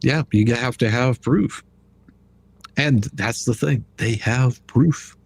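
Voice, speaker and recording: A man with a slightly husky voice hosting a casual livestream commentary in a blunt, mocking, colloquial way. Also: mid-range voice, takes it slowly, a little hiss or hum. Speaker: livestream host.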